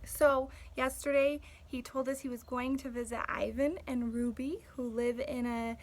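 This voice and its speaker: A woman teacher reading aloud to children, pausing to explain the story and ask questions. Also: sits high, steady tone, slight background noise. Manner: explanatory, checks understanding with questions.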